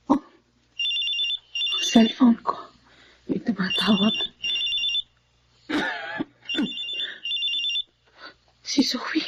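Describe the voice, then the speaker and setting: A woman playing an ailing, remorseful older sister in a Filipino radio drama, with a weak, breathy voice. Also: high voice, muffled recording, background noise, exaggerated voice. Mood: weak, fearful. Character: sick, remorseful older sister, once greedy, now humbled.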